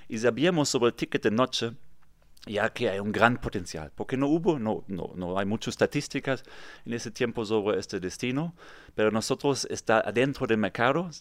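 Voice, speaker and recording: A man's voice: mid-range voice, lively intonation, normal speed, no echo. A German man in his thirties with a German accent, telling his story as a podcast guest in a small recording booth, sometimes searching for words and repeating himself. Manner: earnest storytelling, occasional word searches and repeats. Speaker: guest, real estate developer. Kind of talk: podcast interview.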